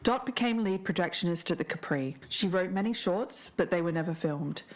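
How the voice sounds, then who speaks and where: Deep-voiced woman podcaster. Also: expressive, fairly quick. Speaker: podcast co-host. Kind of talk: scripted podcast narration mixed with casual chat.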